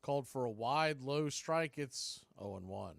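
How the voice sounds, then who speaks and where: A man calling live baseball play-by-play on the radio, in a brisk, conversational way that tracks every pitch and count. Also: expressive, creaky, dry recording.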